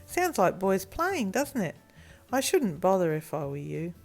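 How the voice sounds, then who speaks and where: A woman voicing a calm, mild mother in a children's story, breezy and gently evasive. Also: medium pitch, a little slow, vocal fry, soft background music.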